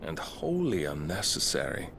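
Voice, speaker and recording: An actor playing a coldly imperious otherworldly villain in a video game, with lofty, measured, archaic speech. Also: male voice, low-pitched, wildly swooping pitch, creaky, background noise, full character voice. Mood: cold disdain. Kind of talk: dramatic video game cutscene acting.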